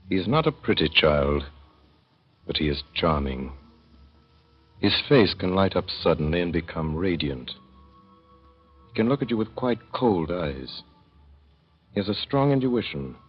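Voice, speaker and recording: A man narrating as a gentle, thoughtful father in a 1930s radio drama, with measured, tender storytelling. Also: low voice, breathy edge. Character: gentle, thoughtful father telling of his son. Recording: dull old 1930s radio recording.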